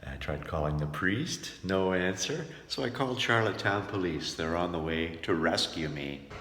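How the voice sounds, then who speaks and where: A man with a very low voice hosts a casual travel vlog. He talks as someone documenting his own tour. The recording has clear background noise around his voice. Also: animated, moderate pace, a bit bright. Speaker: vlog host, touring performer.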